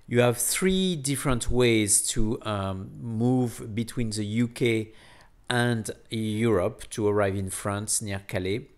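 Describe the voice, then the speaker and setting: A man narrating a casual travel vlog in a hesitant, halting way, often repeating words. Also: fairly low pitch. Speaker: vlogger.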